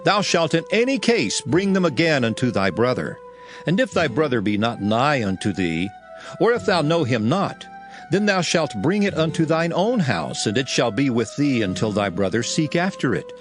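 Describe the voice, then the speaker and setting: A man's voice: mid-range voice, sing-song, moderate pace, faint music behind. A man narrating scripture in a solemn, declamatory style, as in a dramatized Bible reading.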